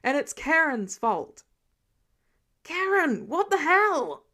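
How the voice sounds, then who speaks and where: A woman plays an exasperated man in an audiobook story, griping loudly. His voice is pushed up high and indignant, yelling across an office. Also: very animated, slow pace, heavily acted. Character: loud, exasperated young man, office worker.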